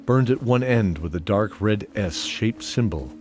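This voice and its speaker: A man with a very low voice narrates a fantasy story. His delivery is deliberate and atmospheric, like scripted dramatic storytelling, with faint music underneath. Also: lively intonation, a little mellow.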